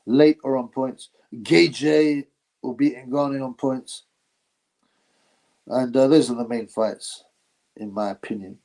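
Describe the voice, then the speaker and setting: Male streamer, casual, rambling, mid-pitched, lots of ums and chuckles. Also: darkish tone. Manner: rambling, laughs often, frequent fillers. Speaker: boxing channel host reading chat. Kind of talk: casual live-stream chat.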